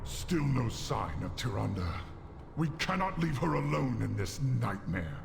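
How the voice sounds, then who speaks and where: Casting: noble orc warrior for a game. Slow, gravelly, grave and terse. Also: male voice, mid-range voice, very animated, full character voice. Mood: troubled. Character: gruff noble orc warrior, mature male. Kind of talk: video game cinematic acting. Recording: noisy game audio with ambience behind.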